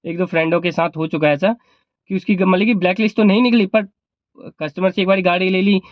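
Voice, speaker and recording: A man's voice: sits high, steady tone, quick pace, no echo, lo-fi sound. A man vlogging informal advice in an explanatory, repetitive way, held back a little by a sore throat. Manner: explanatory, repeats points. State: sore throat, not speaking at full volume. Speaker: vlogger and cab driver. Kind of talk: informal advice vlog talk.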